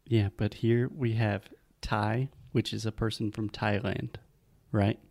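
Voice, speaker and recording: A man's voice: lowish voice, level tone, slow, a little mellow. A man co-hosting a casual chat podcast, patiently explaining English pronunciation. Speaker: podcast co-host, English teacher.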